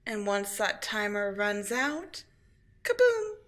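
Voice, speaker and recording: A woman playing a grandiose, scornful supervillain in an audio roleplay, gloating and taunting with drawn-out emphasis. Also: medium pitch, sing-song, a bit bright. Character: theatrical female supervillain, gloating, scheming and scornful. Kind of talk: scripted audio roleplay acting.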